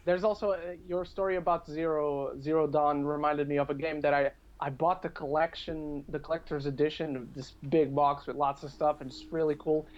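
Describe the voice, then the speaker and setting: Higher-pitched man on a slightly dull recording. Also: fairly even intonation, brisk, few pauses. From Portugal. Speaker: podcast speaker. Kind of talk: casual chat about video games.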